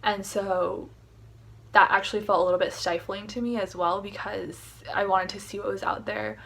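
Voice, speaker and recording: A woman's voice: mid-range voice, fairly even intonation, moderate pace, creaky, a bit bright, slight background noise. Thoughtful young woman, mid voice. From Michigan, US. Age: young adult. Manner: reflective, thoughtful, uses fillers. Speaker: interviewee, recent graduate. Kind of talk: casual remote interview.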